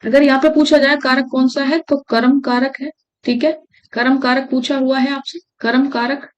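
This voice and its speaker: A woman's voice: high-pitched, flat delivery, moderate pace, lo-fi sound. A woman from India teaching an exam-prep grammar lesson. She repeats key phrases and keeps checking in with her students.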